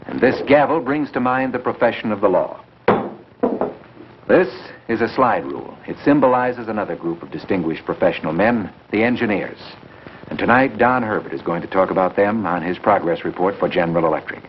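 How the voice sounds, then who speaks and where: A man's voice: lowish voice, expressive, brisk. A man hosting as announcer, giving scripted narration in a 1950s broadcast style. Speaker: announcer and host. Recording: muffled, hissy 1950s broadcast recording.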